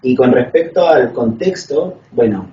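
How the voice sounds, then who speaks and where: A man's voice: mid-range voice, expressive, unhurried, dark tone. A man from northern Chile, asking a lecturer a long structured question in a methodical way, with frequent "eh" fillers. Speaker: audience member asking a question. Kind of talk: conference Q&A question. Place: lecture hall. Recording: echoey, distant, dull lo-fi sound.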